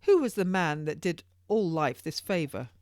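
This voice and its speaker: A woman's voice: low voice, lively intonation, normal speed, darkish tone, no echo, clean studio sound. A woman voicing a theatrical, pompous king of England who speaks in grand, exclamatory, archaic courtly phrases in an audiobook. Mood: grandly effusive.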